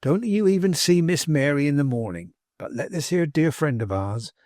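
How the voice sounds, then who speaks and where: A man's voice: on the high side, expressive, normal speed, a little mellow, no room sound, natural voice. Storybook role read by a man: plain-spoken working-class servant lad, loyal and fervent, punchy emphasis, dry studio sound. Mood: coaxing, encouraging. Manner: emphatic, colloquial, ungrammatical, insistent. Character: cheery working-class young manservant, loyal, bold, scornful orator. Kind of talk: dramatised audiobook character dialogue.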